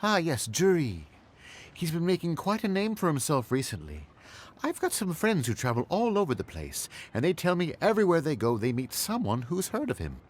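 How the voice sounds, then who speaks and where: A man's voice: fairly high pitch, very animated, normal speed, a little hiss or hum. A man voicing a friendly middle-aged fishmonger who chats in a folksy way. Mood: chatty. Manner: chatty, folksy.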